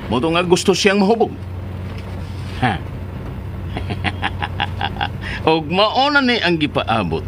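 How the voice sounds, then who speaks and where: Radio drama: lovestruck male Cebuano schemer, exclamatory, highly animated pitch, faint car noise behind. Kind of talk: Philippine radio drama acting. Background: car ambience.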